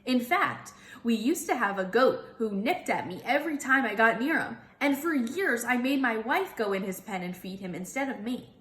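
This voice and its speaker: A woman's voice: fairly high pitch, expressive, normal speed, a bit bright, roomy sound. Woman playing a patient, folksy male farmer. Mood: amused, confiding. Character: kindly adult male farmer, patient, reassuring. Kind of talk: children's storybook character voice.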